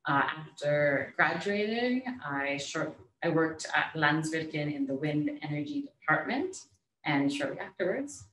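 A woman's voice: deep voice, sing-song, a little slow, fairly bright tone, distant mic, slight background noise. A young woman from Mexico, a co-founder talking casually to students about her path. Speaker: guest speaker, co-founder. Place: university classroom. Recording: echoey classroom, mic from afar.

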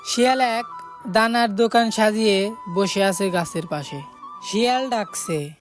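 A woman's voice: medium pitch, a little slow. Woman storyteller narrating over music. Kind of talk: scripted cartoon narration. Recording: music behind the voice.

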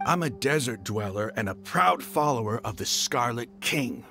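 An actor playing a proud, hostile desert mercenary leader and fervent believer in a video game, with a gravelly, defiant delivery. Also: male voice, mid-range voice, animated, moderate pace. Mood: proud conviction. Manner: defiant, theatrical, sneering. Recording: music under the voice.

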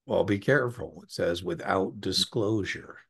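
American man, very deep husky voice, encouraging exam tutor, conversational, rambling asides. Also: a little slow. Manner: encouraging, digressive, informal. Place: indoor room on a video call.

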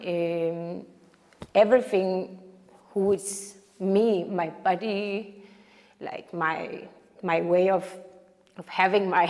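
A young woman with a Danish accent, a circus artist speaking in a reflective personal interview. She talks haltingly and searches for words in English, which is not her first language. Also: fairly low pitch, gravelly, darkish tone. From Denmark. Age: young adult. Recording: reverberant with background music.

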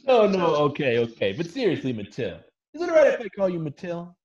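A man's voice: on the high side, huge pitch swings, a little fast, a little mellow, husky, exaggerated voice. A man playing a laid-back, nosy, dim young male model with slangy speech in a comedy table read. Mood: coaxing. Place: at home on a video call. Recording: muffled video call audio.

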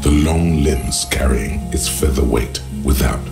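A man voicing the brooding inner narration of a detective game, gravelly, deliberate and poetic. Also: extremely low pitch, full character voice. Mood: measured, mesmerized. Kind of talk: scripted second-person game narration. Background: music.

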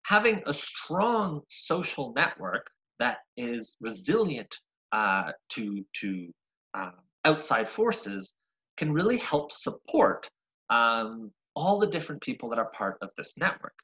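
A young man from Argentina giving an online science seminar over a video call, lively and full of ums and uhs, often restarting words. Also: medium pitch, slow, low-quality recording. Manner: lively, frequent ums and uhs, self-correcting. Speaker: graduate researcher giving a seminar. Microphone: webcam on a video call.

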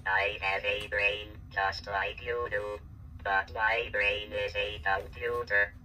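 A synthesized male voice speaking as a small, polite, earnest robot pet in a stilted monotone. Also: fairly low pitch, slow. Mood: flat, polite. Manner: stilted, flat machine delivery. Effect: vintage robot speech synthesizer. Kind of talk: vintage speech-synthesizer robot voice. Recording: distant, noisy workshop recording.

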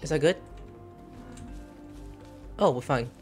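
A woman's voice: deep voice, slow, darkish tone, faint music behind. A woman casually commenting while playing a video game, playful and jokey, talking to herself. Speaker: streamer.

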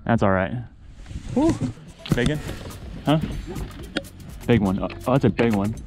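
A man in his thirties filming himself fishing from a small boat in a swamp, chatting excitedly, laughing and repeating himself as fish bite. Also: mid-range voice, a little slow, music in the background. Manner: excitable, laughs often, repeats words when hooked up. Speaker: fishing vlogger. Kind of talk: excited outdoor fishing commentary. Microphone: action camera mounted on the boat.

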